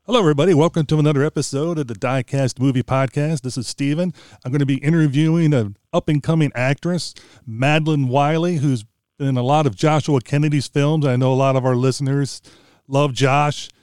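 A man's voice: mid-range voice, steady tone, brisk, a little mellow, clean tone, no room sound, clean studio sound. A middle-aged American man hosting a podcast in a casual, conversational interview style. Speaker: podcast host interviewing a guest.

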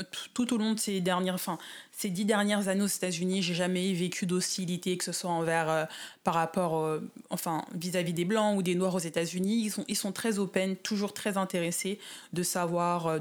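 Low-voiced French woman, relaxed guest. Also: fairly quick. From France, Paris suburbs. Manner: thoughtful, many fillers and restarts. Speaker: interview guest. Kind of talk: casual conversational interview.

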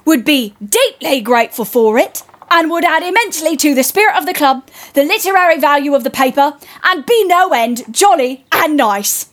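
A spirited teenage tomboy girl, acted by a woman, plays at being a pompous gentleman in an audio play. Her voice is high and swings widely, eager and theatrical, with mock-formal phrasing. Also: lively intonation, moderate pace, few pauses. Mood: mock-formal, earnest.

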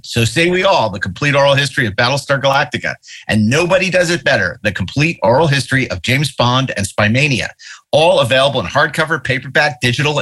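A man reading podcast promotions in a brisk, upbeat ad-read style. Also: medium pitch, pushed and bright, slightly rough. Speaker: podcast announcer.

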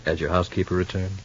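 A man's voice: sits low, breathy edge, heavily acted. An actor playing an exasperated but protective, down-to-earth man in an old radio soap opera, chiding in a familiar way. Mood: casual, reassuring. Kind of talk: 1940s-50s radio soap opera acting. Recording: dull, hissy, humming old radio recording.

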